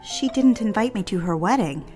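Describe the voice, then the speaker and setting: An actress playing a dutiful young career woman in an audio drama, anxious and restrained with a quiet defiance. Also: mid-range voice, expressive, moderate pace, soft background music, natural voice. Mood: unsettled. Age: young adult. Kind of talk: scripted audio drama acting.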